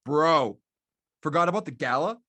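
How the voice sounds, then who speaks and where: An actor playing a laid-back street operative in an audio drama, with teasing, slangy banter. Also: male voice, on the high side, lively intonation, normal speed, bright, forceful tone. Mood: urgent banter.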